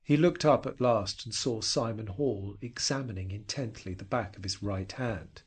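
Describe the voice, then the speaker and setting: A man narrating a horror story in a measured, suspenseful and dramatic way. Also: fairly low pitch, slightly rough. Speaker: narrator reading a short story.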